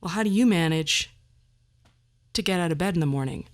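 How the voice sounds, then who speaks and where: A woman's voice: lowish voice, expressive, fairly quick. A middle-aged woman hosting a podcast, delivering a personal monologue in a wry, self-deprecating and theatrically emphatic way. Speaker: podcast host.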